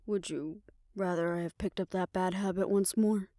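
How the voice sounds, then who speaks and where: A woman playing a weary, proud aging fixer talking softly and wryly to her lover in an intimate roleplay audio drama. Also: lowish voice, fairly even intonation, moderate pace, dry recording, studio quality, close to an everyday voice. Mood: wry, confiding.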